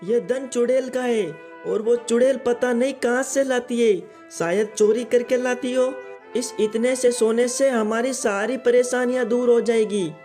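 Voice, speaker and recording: An actor voicing an earnest, excitable poor village boy in a cartoon. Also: medium pitch, a little slow, faint music behind, natural voice. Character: poor young village boy who herds goats. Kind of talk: cartoon voice acting.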